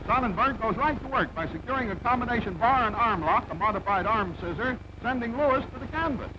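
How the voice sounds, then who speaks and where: A man's voice: very high-pitched, lively intonation, a little fast. A man giving punchy, present-tense play-by-play sports commentary in an old newsreel style. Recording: dull, very noisy old film soundtrack.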